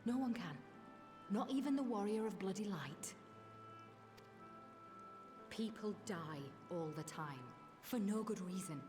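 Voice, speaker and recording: A woman's voice: mid-range voice, unhurried, long pauses, natural voice. An actress playing a bitter young woman soldier in a video game, blunt and mocking. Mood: scornful. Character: bitter, scornful young female soldier, hardened realist. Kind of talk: dramatic video game cutscene acting. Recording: orchestral music behind the voice.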